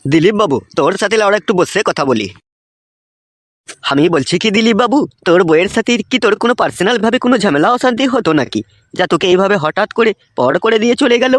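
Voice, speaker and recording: A man acts out a nosy, cheeky village man from a comedy cartoon, with a rural West Bengal accent. He talks extremely fast in a high voice, crude and pushy as he hands out advice. The sound is close and dry. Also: expressive, no echo. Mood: probing. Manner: crude, swearing, teasing and insistent. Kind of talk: comic cartoon dialogue.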